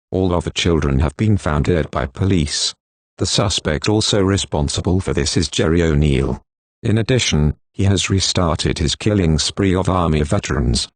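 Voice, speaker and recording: A man reading a scripted emergency broadcast announcement in a formal, flat, stilted synthetic manner. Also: low-pitched, dry recording.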